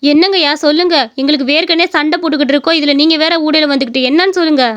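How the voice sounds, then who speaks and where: Woman playing a brash, fast-talking rich young woman. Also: high-pitched, steady tone, fairly bright tone. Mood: irritated, evasive. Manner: brusque, assertive. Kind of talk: cartoon story dialogue.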